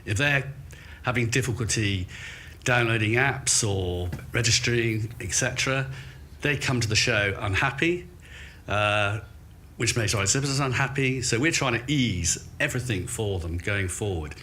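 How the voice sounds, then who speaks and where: Man, British accent, low bright forceful voice, emphatic panel talk, faint room noise. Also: moderate pace. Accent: British English. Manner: loud, emphatic, anecdotal. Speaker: trade show organiser on a panel. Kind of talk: panel discussion. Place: event venue in central London.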